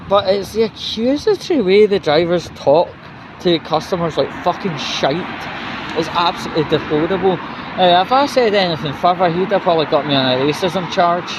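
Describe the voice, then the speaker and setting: A British man giving a casual, rambling, sweary vlog rant to viewers in an indignant, sarcastic manner. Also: fairly high pitch. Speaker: vlogger. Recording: dull lo-fi recording with loud outdoor traffic noise.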